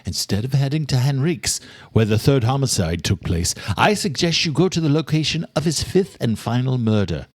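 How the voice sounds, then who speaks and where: A man's voice: medium pitch, animated, dry recording, voice pushed up, lightly acted. Older scholarly doctor, played by a male narrator, courteous, determined, formal, dry close sound, audiobook dialogue. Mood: reassuring, scheming. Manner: formal, measured, old-fashioned address.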